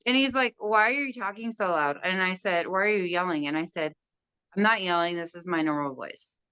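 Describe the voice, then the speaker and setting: A young woman with a loud voice, casually telling a story about a stubborn resident at work and acting out both sides. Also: lowish voice, a little fast, clear, resonant tone, no room sound, poor audio. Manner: animated storytelling, quoting others. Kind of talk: casual conversation.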